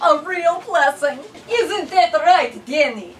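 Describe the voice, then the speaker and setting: Woman putting on a high, gushing proud mother. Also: lively intonation, slow, far from the mic. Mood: proud, delighted.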